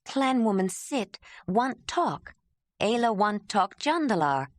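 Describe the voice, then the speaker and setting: A woman voicing a young cave woman healer who speaks in broken, halting phrases and pleads to be understood. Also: medium pitch, sing-song, no room sound, clean studio sound, pitched above the performer's own voice. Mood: earnest, pleading. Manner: broken simple speech, pleading.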